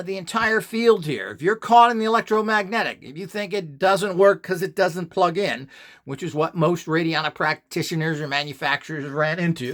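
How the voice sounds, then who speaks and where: A mature man with a somewhat high-set voice talks off the cuff as a video presenter. He is blunt and emphatic, with a scornful edge as he lays out his opinions. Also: a bit bright. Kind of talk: unscripted opinionated monologue.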